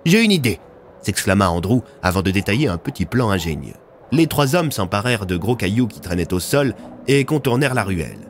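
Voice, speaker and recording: Un homme qui raconte une fiction audio, en narration dramatique écrite. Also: male voice, fairly low pitch, expressive, fairly quick, a little hiss or hum. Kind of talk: scripted dramatic story narration.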